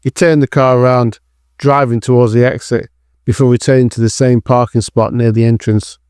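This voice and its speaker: Guy narrating an audio drama, medium pitch, dry studio-like sound no echo. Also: fairly even intonation, moderate pace, a little mellow, clear, resonant tone.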